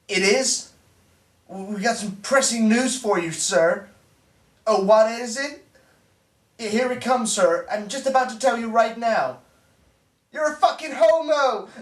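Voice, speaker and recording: An actor playing a brash, crude young American man who is the president's son, talking loud, profane and full of swagger. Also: high voice, recorded from a distance. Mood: crude prank calling. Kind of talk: mockumentary comedy acting. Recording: roomy echo, off-mic.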